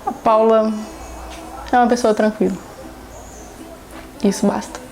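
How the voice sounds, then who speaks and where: A woman in her twenties from Minas Gerais, Brazil, speaking calmly in a documentary interview in short, measured phrases. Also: fairly high pitch, a little hiss or hum, rough recording. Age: 20s. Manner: calm, measured, halting phrases.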